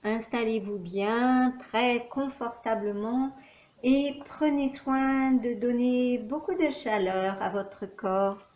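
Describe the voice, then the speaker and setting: A woman teaching yoga nidra, giving calm, measured relaxation instructions with long pauses. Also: a little high. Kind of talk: guided relaxation meditation. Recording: roomy echo, faint background noise.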